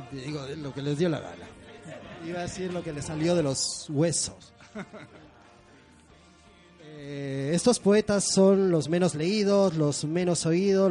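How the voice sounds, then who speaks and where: A man's voice: a little high, mellow. A middle-aged man from Peru hosting a live poetry segment before an audience, moving from theatrical introductions to loose, self-mocking asides.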